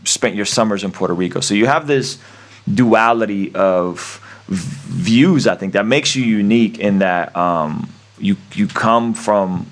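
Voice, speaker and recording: A man's voice: fairly low pitch, measured pace. A man whose first language is Russian, hosting a casual interview, enthusiastic and affirming. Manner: affirming, lots of "yeah", enthusiastic. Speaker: podcast host.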